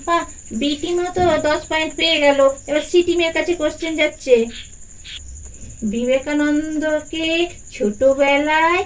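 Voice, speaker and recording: A performer acts out an auntie doll running a children's quiz in a puppet show. The voice is high, and the manner is encouraging and deliberate. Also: steady tone, a bit bright, rough recording. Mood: encouraging, brisk. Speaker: quiz host. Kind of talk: puppet doll story voice acting.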